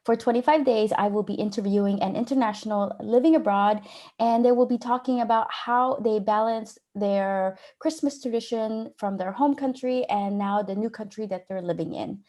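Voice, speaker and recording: A woman's voice: fairly high pitch, moderate pace, dark tone. A woman hosting a podcast, talking in a conversational interview style with her guest. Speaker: podcast host.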